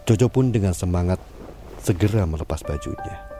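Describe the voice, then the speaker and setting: A man narrating an audio drama in a measured storytelling manner. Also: sits low, expressive, dark tone. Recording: music underneath.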